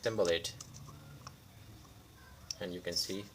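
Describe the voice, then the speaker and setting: Casting: male repair tutor, non-native English speaker, lowish voice, very slow, halting phrasing, unscripted demo style. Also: fairly even intonation, background noise. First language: not English. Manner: halting, pausing mid-sentence in short phrases. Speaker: electronics repair tutorial host. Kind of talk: unscripted hands-on repair demonstration.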